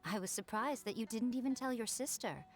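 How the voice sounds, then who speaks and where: A woman's voice: a little high, expressive, brisk, faint music behind. A woman voicing a sly, teasing, worldly fox spirit in an anime game. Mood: knowing, teasing.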